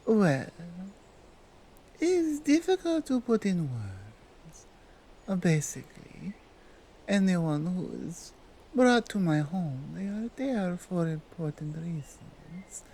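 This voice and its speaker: Woman playing a seductive nordic krampus lady, asmr roleplay, slow n mellow, dominant but tender, bit of background noise. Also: fairly low pitch, sing-song, heavily acted, poor audio. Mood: coaxing, gentle. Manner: halting, deliberate speech in foreign-sounding broken English. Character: dominant, tender, seductive female Krampus, otherworldly gift-bringer.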